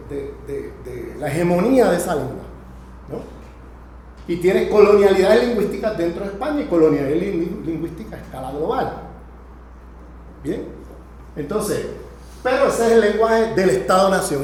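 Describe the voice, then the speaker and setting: A man lectures to a class in a high-pitched voice. His delivery is emphatic and didactic, improvised, with frequent short check-in questions. The room is strongly echoey, with faint noise behind him. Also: a little mellow.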